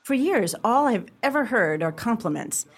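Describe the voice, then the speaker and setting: A woman's voice: a little high, sing-song, moderate pace, husky, heavily acted. An actress playing a blunt, fiery young woman in a radio play, forthright and exasperated. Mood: exasperated, insistent.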